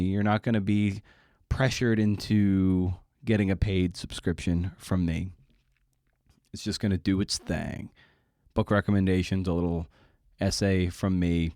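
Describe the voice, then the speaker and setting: A man's voice: lowish voice, darkish tone, no room sound, clean studio sound. A man hosting a podcast in a casual, rambling monologue, with informal, slangy, self-deprecating phrasing. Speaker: podcast host.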